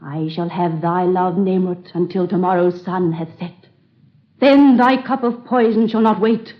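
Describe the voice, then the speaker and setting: A woman's voice: on the low side, fairly even intonation, unhurried, natural voice. An actress playing a haughty, bored and cruel queen of ancient Egypt, in 1930s radio drama style with archaic, imperious diction. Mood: sultry. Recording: dull old radio recording.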